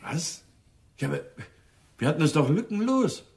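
A man's voice: a little high, sing-song, normal speed, vocal fry, darkish tone. A man playing a fussy, indignant police superior in a German radio crime drama, formal and disapproving. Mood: incredulous. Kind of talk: German radio play acting.

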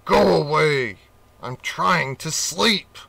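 A man voicing a grumpy, lazy old male crocodile who shouts gruffly. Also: fairly high pitch, expressive, slow, recorded from a distance, exaggerated voice.

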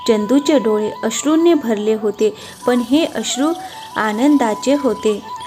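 A woman's voice: fairly high pitch, slow pace, music in the background. A woman narrating a scripted moral story as its storyteller.

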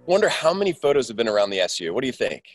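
A man's voice: mid-range voice, big pitch swings, rapid-fire. A man co-hosting a livestream with casual, upbeat live commentary. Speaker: livestream co-host. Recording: music playing behind the voice.

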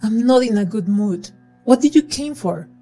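A young woman acting a scientist who is turning into a monster, reporting her symptoms in a halting, anxious way in a small room. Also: on the high side, expressive, dark tone, soft background music. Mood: tense. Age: young adult. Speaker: lead actress in a web series. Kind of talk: amateur web drama acting.

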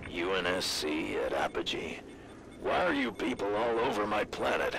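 An actor playing a gruff, territorial male colony governor in a video game, sardonic and grudging. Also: low voice, wildly swooping pitch, moderate pace, background noise, heavily acted. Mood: irritated. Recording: loud music and game sound behind.

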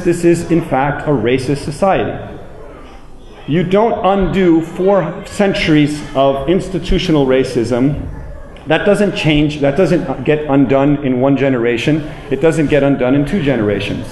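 An American man in his forties giving a public lecture in an emphatic, deliberate manner, repeating phrases for weight. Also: a little high, a little mellow. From United States. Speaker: public speaker. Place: indoor room with plain walls, speaking to an audience. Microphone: handheld microphone, later a small clip-on mic. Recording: roomy echo, clear background noise.

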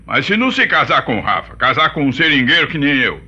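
A gruff, folksy Brazilian rubber-tapper father in a radio soap. His husky voice is very sharp and jumps all over in pitch. The recording is slightly dull. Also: sing-song, fast, heavily acted. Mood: grumbling. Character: gruff rustic Amazonian rubber-tapper father, grumbling, homespun. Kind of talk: radio soap opera acting.

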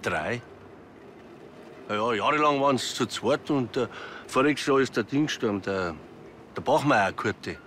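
An actor playing a plain, wistful amateur football club man with a Bavarian accent, halting and matter-of-fact, in a TV crime comedy. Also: mid-range voice, animated, a bit bright, slight background noise. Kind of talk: naturalistic TV crime comedy dialogue.

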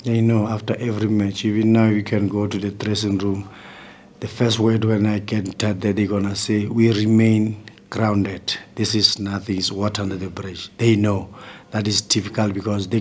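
A South African man in his forties, a football coach answering press questions in a measured way, often restarting his phrases, at a table mic in a press room. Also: lowish voice, husky. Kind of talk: post-match press conference answers. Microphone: table mic in front.

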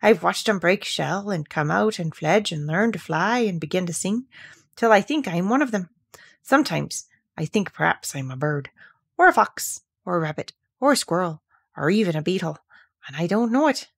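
A woman's voice: lowish voice, lively intonation, creaky, breathy edge, full character voice. Woman doing a cheerful Yorkshire country boy. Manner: quick, easy, good-humoured speech. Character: cheerful country boy, easygoing, friendly with animals.

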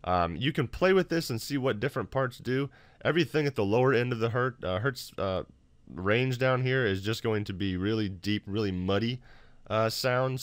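Casual male tutorial host, lowish pitch, informal explainer, dry home-office sound. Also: dry recording. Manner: informal, self-deprecating, explanatory. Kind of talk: casual screen-recorded software tutorial.

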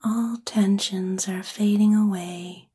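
Breathy, whispering woman guiding sleep meditation. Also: mid-range voice, steady tone. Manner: gentle, soothing, unhurried phrasing. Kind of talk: guided sleep meditation, ASMR-like narration.

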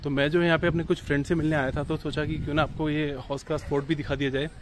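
A man's voice: on the high side, level tone, very fast, background noise. A man in his thirties from India, chatting through a walking tour vlog on a phone held at arm's length, mixing English words into Hindi. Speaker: travel vlogger. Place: outdoors at an old fort and town streets. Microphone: phone camera held at arm's length.

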